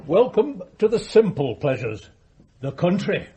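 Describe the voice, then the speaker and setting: A man's voice: high-pitched, sing-song, unhurried, warm tone, a little hiss or hum. An actor playing a domineering, blunt self-made tycoon and father in a radio drama, brusque and grumbling. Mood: commanding.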